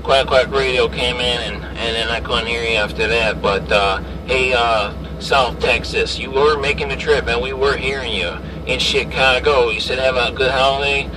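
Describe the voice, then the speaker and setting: A man's voice: medium pitch, fairly even intonation, normal speed, hardly any pauses. Man on CB, distant and noisy. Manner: repeats callsigns and phrases. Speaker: CB radio operator. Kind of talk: CB radio chat. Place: inside a vehicle. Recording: distant mic, clear vehicle cabin noise. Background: vehicle and ventilation noise.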